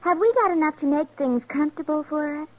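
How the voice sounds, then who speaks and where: An actress playing a sweet, coy young American wife in an old radio advert sketch. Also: female voice, sits high, fast talker, lightly acted. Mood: coaxing, coy. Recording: dull, noisy vintage radio recording.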